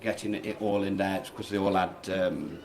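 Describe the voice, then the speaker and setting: A man's voice: deep voice, moderate pace, vocal fry, a little mellow. A man who works as a primary school teacher, explaining things earnestly and conversationally in a radio interview. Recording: roomy echo, people chattering behind.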